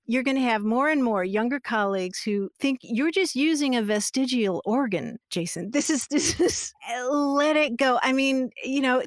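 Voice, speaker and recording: A middle-aged woman explaining grammar rules in a relaxed, friendly way, laughing easily as she talks. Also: fairly high pitch, no echo, studio quality. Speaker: grammar expert guest. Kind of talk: conversational explanation on a podcast.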